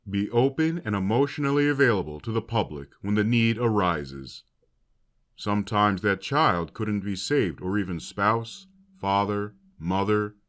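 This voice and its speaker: A man playing a grave, compassionate academy headmaster in an audio drama, speaking in formal, measured oratory. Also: fairly low pitch, fairly bright tone. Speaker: voice actor. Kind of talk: fan audio drama speech.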